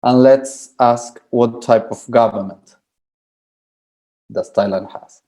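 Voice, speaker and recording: Slow delivery, mellow tone, halting, academic presentation. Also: medium pitch. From Argentina. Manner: halting, restarts and repeats words; explains with examples. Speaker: forum speaker.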